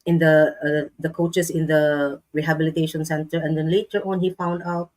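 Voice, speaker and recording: A woman with a Filipino accent, chatting as a guest in a live-stream interview, laughing often and pausing to search for words. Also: sits low, level tone, darkish tone. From Philippines.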